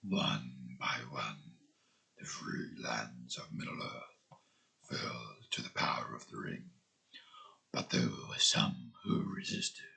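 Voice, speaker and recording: Solemn epic fantasy storyteller, acted by a man: very slow, hushed, deep and gravelly. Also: full character voice, poor audio. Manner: slow, portentous. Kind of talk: dramatic scripted narration.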